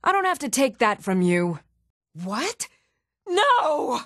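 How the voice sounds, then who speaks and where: An actress playing a steady, firm young woman in a fantasy video game, speaking with measured, earnest delivery. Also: sits high, no room sound, clean studio sound, exaggerated voice. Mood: matter-of-fact, then alarmed. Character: even-toned young adult woman of a fantasy world, steady and stern.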